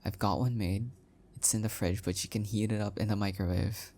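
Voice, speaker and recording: A man playing an anxious, adorkable husband in an intimate audio roleplay, speaking in a breathy, pleading manner. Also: on the low side, steady tone, a little fast, a little mellow. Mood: affectionate, casual. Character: adorkable, anxious, oblivious husband, affectionate and flustered.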